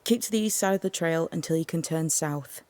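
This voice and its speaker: A woman playing an eccentric seer who talks with the dead in a video game, creaky and measured in delivery. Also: low-pitched, darkish tone, lightly acted. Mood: calm, guarded. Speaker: game voice actor. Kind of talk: dramatic game dialogue.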